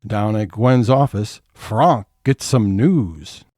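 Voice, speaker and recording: A man's voice: fairly low pitch, animated, slow pace, darkish tone, soft background music. A man narrating scene changes in a scripted comic audio drama.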